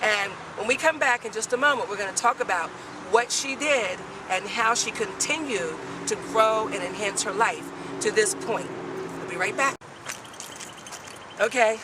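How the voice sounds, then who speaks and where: A woman's voice: on the high side, moderate pace. Chatty upbeat woman host interviewing outdoors, off-mic, traffic noise behind. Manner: chatty, upbeat, self-deprecating. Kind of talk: street interview. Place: outdoors in a city. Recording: distant mic with street noise.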